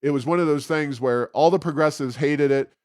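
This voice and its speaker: A man hosting a podcast, giving conversational political commentary with frequent pauses mid-sentence. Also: fairly high pitch, a little mellow, no echo, clean studio sound. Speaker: podcast host.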